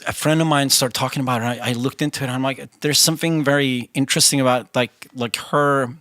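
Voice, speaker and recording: Swedish-speaking guy, 40s, bright punchy voice, rambly band interview in a dry studio. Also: mid-range voice, fairly even intonation, fast talker, no room sound. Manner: chatty, restarts often, quotes himself. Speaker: band singer, interview guest. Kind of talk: casual interview conversation.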